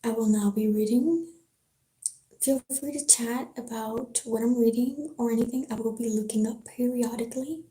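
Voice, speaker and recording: A woman with a French accent speaks slowly in a slightly high, soft, mellow voice. She chats with her live-stream audience in an easygoing way and reads a book aloud. The sound is roomy and echoey, picked up through a home setup. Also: slight background noise. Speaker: livestreamer reading a novel aloud. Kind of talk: casual live-stream chat and book reading. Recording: roomy echo.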